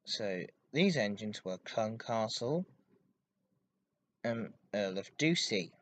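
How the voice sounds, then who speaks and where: A man hosting a hobby podcast, giving an informative enthusiast monologue in a halting way with stressed intensifiers. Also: lowish voice, expressive, no echo. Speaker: podcast host. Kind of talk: informative enthusiast monologue about railway history.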